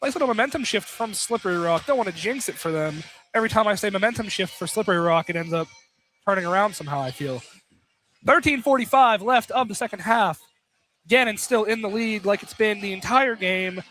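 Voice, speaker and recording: A man's voice: sits high, expressive, a little fast, pushed and bright. A man giving live radio play-by-play of a basketball game, with casual, opinionated asides. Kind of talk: live radio sports commentary. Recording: noisy live gym broadcast.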